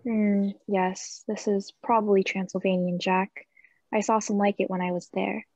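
A woman playing a self-assured young married gentleman with a husky, creaky voice in a radio play. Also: mid-range voice, little pitch movement, normal speed, no echo, close to an everyday voice. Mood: inquisitive. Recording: dry, slightly dull sound.

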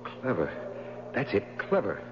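A haunted, grieving man in vintage radio-play style. His voice is low and overwrought, with halting repetitions. The recording is muffled, with clear humming noise behind it. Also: huge pitch swings. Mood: frantic, obsessive. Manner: overwrought, halting, repeating words. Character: grief-stricken, haunted, obsessive widower, a man. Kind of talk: 1970s radio drama acting.

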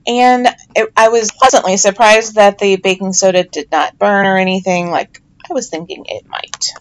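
A woman's voice: mid-range voice, steady tone, moderate pace, low-quality recording. A middle-aged woman with a chatty, self-deprecating manner, giving a casual voiceover narration as a home soap maker.

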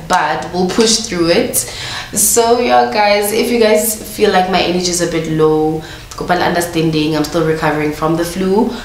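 A young woman with a South African Sotho accent, chatting casually to camera in a vlog, her voice nasal from a flu. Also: low-pitched. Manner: chatty, mixing English with Sesotho, pet names for viewers. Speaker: vlogger. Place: small room indoors, couch, pink lighting. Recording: roomy indoor sound with faint noise.

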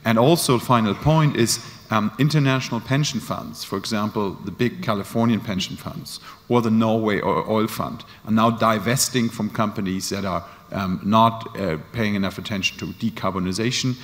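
A man in his forties speaking as a panelist in a large conference hall, pausing often with fillers, into a handheld microphone. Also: fairly low pitch. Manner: hesitant, many fillers. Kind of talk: panel discussion. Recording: long hall reverb.

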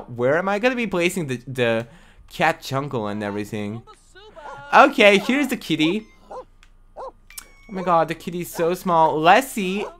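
A young man with English as a second language, chatting casually to viewers while streaming a life-simulation game from a small room at home. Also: medium pitch. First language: not English. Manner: chatty, excitable, talks to chat viewers. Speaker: livestream host. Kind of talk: casual gaming livestream chat.

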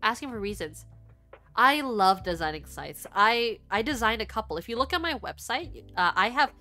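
A woman's voice: fairly high pitch, animated, moderate pace, bright, forceful tone. Chatty young woman streamer over faint music. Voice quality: stuffy nose at times. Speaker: VTuber streamer and artist. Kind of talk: casual livestream chat with viewers. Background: faint background music.

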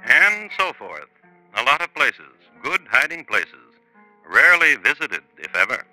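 A male host narrates a scripted 1950s radio crime story. His voice is mid-pitched and piercingly bright, with animated intonation. He speaks in deliberate, clipped phrases with a dry manner. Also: faint music behind, rough recording.

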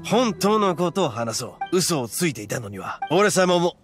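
An actor playing a brash, proud young horned oni gang boss in an anime game, with rough, blunt, swaggering speech. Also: male voice, a little high, very animated, normal speed, soft background music. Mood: earnest, gruff.

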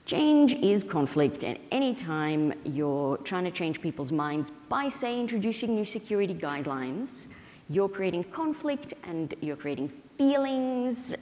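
A woman in her thirties giving an explanatory, conversational conference keynote, with halting, hesitant phrasing. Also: mid-range voice, a little mellow. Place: indoor stage at a conference, at a podium. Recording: reverberant hall sound.

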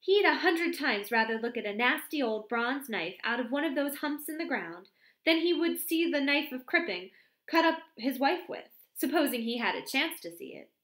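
A woman's voice: high-pitched, lively intonation, moderate pace, fairly bright tone, pitched above the performer's own voice. Woman doing a gushing, gossipy young secretary. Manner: chatty, breathless, exclamatory. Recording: roomy echo.

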